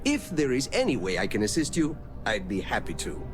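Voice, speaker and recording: A man's voice: mid-range voice, wildly swooping pitch, fairly quick, over music. An actor playing a courteous bank teller in a video game, reciting slogans like a salesman. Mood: courteous, canned. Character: polite, scripted male bank teller spouting slogans.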